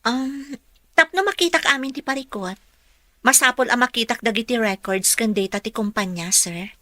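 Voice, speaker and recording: An eager young office hopeful in a radio drama, with a high, bright voice. She is polite and respectful, speaks with a Filipino accent and sounds close and dry. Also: moderate pace, dry recording. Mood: earnest, respectful. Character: eager, polite young woman graduate seeking office work. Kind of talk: Ilocano radio drama acting.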